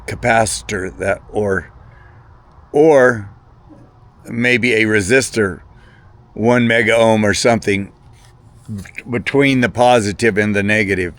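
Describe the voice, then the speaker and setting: Halting, rambling man with a lowish voice. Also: steady tone, a bit bright. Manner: hesitant, halting, repeats words. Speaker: hobbyist video presenter. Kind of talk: casual rambling hobby talk to camera.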